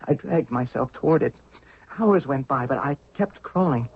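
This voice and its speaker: Husky fervent young pharaoh. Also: male voice, mid-range voice, expressive, normal speed. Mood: awed, weary. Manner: earnest, declamatory. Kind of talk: 1970s radio drama acting. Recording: tinny narrow-band old radio recording.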